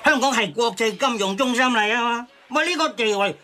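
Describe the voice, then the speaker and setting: An actor playing an exasperated, grumbling uncle in a satirical radio drama, speaking Hong Kong Cantonese. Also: male voice, very high voice, fairly quick, bright, forceful tone. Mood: indignant.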